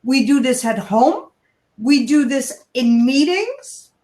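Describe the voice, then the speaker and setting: A woman with a high voice talks in an animated, coaching way, stressing her points and turning to address listeners directly. Her voice rings in a roomy, echoing space. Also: slow. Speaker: guest, kindness speaker. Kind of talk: animated advice-giving interview talk.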